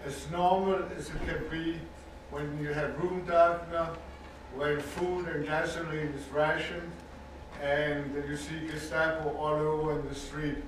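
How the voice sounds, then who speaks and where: An older man from Denmark with a Danish accent gives earnest, measured personal testimony as a survivor speaking to an audience, stressing points by repeating words. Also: fairly high pitch, level tone, noisy background. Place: university lecture hall with audience. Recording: echoey hall recording from far off.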